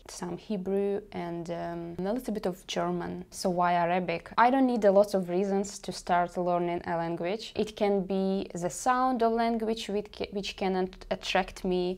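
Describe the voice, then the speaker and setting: A woman's voice: fairly low pitch, gravelly, a little mellow. A young woman with a Ukrainian accent, a native Ukrainian speaker, who hosts a language channel and talks earnestly to camera, explaining things step by step with many small fillers. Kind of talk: casual talk to camera.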